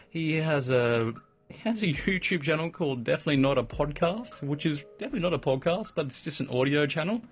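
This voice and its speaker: A man, hosting a casual solo podcast in a chatty, upbeat way with lots of shout-outs and asides. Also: a little high. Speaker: podcast host.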